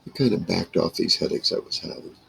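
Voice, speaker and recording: Deep, gravelly older man rambling. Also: fairly quick, fairly bright tone. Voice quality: gravelly, husky. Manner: rambling, salty storytelling. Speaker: vlogger. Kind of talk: casual reminiscing monologue to camera. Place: small wood-paneled room indoors.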